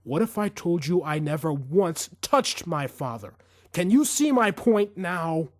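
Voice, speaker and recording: A man's voice: on the high side, big pitch swings, dry recording, studio quality. Man acting a menacing, vengeful assassin, swinging intonation. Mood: controlled rage. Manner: menacing, taunting, deliberate. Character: cold, vengeful male assassin with simmering rage.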